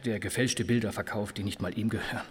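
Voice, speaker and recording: Male actor doing touchy bitter guy, stammers a bit, lowish voice, background noise, radio play. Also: steady tone, fast talker, natural voice. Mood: resentful, agitated. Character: defensive, resentful male friend of a widow. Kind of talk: radio drama acting.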